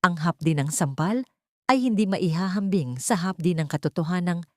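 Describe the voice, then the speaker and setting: Female narrator for dramatic fiction audio story, lower register, very dry recording. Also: lively intonation, moderate pace, no room sound.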